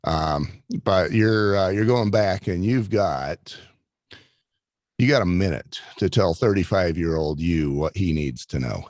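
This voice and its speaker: A man's voice: sits low, expressive, a little slow, a little mellow, no room sound, clean studio sound. A man hosting an interview, reflective and encouraging toward his guest. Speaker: podcast host.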